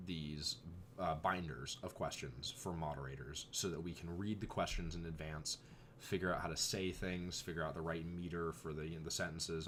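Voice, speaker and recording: Very deep-voiced young man, slightly hoarse. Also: fairly bright tone, slight background noise. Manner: rambling, exasperated asides. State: voice going hoarse. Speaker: vlogger. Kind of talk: casual chat.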